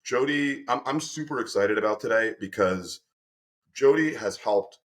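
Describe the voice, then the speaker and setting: A man hosting an interview podcast, energetic and effusive, often restarting his sentences. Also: medium pitch, moderate pace. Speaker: podcast host and company representative.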